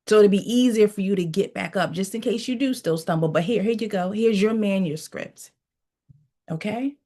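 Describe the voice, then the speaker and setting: A woman's voice: medium pitch, warm tone. A woman coaching in a motivational teaching session, preaching with repeated phrases and telling listeners to write key lines down. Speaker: mindset coach.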